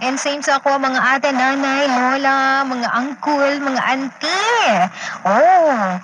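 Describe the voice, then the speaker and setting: Woman radio DJ, high-pitched live patter, clearly noisy off-air recording. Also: takes it slowly. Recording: noisy radio broadcast reception.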